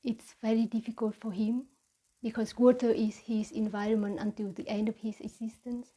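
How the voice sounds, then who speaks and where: A woman with a Vietnamese accent giving a reflective mindfulness talk as a meditation teacher, with halting, deliberate phrasing. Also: fairly high pitch, level tone, warm tone, slightly rough, rough recording.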